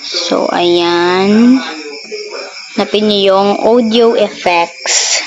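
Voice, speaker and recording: Woman, Filipino accent, casual tutorial host, informal step-by-step instruction, medium pitch, noisy home recording. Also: extremely slow, lo-fi sound. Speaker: host of a video tutorial channel. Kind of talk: casual phone-app tutorial.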